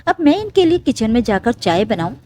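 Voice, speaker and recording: A woman's voice: on the high side, very animated, brisk, warm tone. A woman voicing a vain, lazy young daughter-in-law in a story, self-centred and two-faced. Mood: grumbling.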